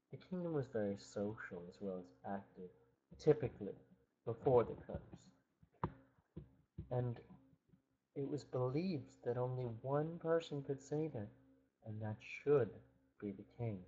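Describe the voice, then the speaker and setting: A man's voice: medium pitch, dark tone, highs cut off. A man making up a fairytale aloud as he goes, drawing words out for theatrical emphasis. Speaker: storyteller. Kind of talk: improvised fairytale narration.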